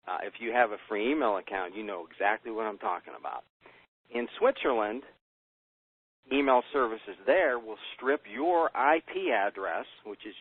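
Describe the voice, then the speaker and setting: An American man gives an emphatic business pitch on a conference call, asking himself rhetorical questions and answering them. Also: breathy edge. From United States. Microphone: telephone. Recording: tinny phone line.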